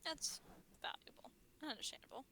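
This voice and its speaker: A woman's voice: brisk, bright, forceful tone, slight background noise. A woman chatting casually as a co-commentator on a game stream. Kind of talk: casual chat.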